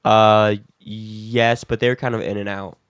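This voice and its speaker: A young man co-hosting a casual chat podcast, relaxed and rambling, with a slightly stuffy nose from a cold. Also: fairly low pitch, unhurried, clean tone, dry recording, muffled recording. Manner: relaxed, rambling, laughs easily. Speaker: podcast co-host.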